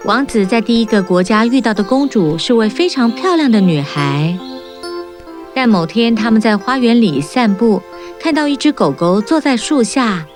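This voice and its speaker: A woman narrating a children's fairy-tale cartoon in a clear storybook manner. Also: fairly high pitch, lively intonation, normal speed. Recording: narration over prominent music.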